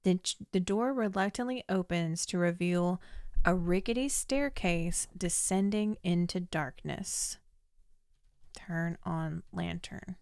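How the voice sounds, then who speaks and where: Chatty young woman, slightly hoarse. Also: on the low side, darkish tone, no room sound, studio quality. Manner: chatty, thinks aloud, reads descriptions in a measured storytelling way. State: slightly hoarse, losing her voice. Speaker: streamer playing a text adventure. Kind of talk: casual game commentary, reading game text aloud.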